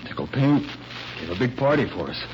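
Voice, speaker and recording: An actor playing an earnest, lovesick young fiancé in a radio mystery, hesitant and stammering with worry. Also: male voice, medium pitch, big pitch swings, fairly quick, close to an everyday voice. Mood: uneasy, hesitant. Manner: hesitant, stammering, self-correcting. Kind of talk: 1940s radio mystery acting. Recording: muffled, faintly noisy vintage radio recording.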